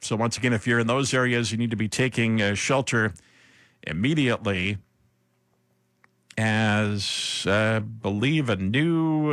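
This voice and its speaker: An American man anchoring live severe weather coverage on radio, speaking haltingly as he improvises between read-out bulletins. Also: medium pitch, fairly bright tone, no echo, studio quality.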